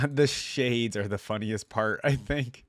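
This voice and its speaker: A man's voice: mid-range voice, slow pace, fairly bright tone, no room sound. A man giving casual, informal reaction commentary, thinking aloud as he goes with plenty of filler words. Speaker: reaction video host.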